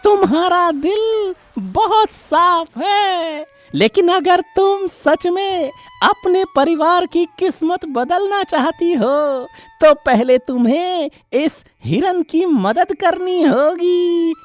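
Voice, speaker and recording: A woman voicing a wise, mysterious talking crow in an animated story, calm and grave, with solemn drawn-out delivery. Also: extremely high pitch. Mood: reassuring, solemn. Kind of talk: animated children's story dialogue. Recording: music behind the voice.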